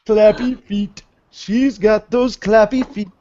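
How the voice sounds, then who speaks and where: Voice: medium pitch, fairly even intonation, slow pace, dark tone, dull audio, a little hiss or hum. A podcast host bantering casually and comically during an amateur manga dub, with a playful, theatrical way of talking. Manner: playful, theatrical, often breaks into silly voices.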